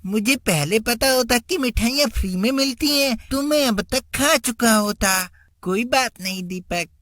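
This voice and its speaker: A woman's voice: fairly high pitch, animated, measured pace, fairly bright tone, dry recording. A woman voicing an innocent, earnest young schoolboy in a children's cartoon story.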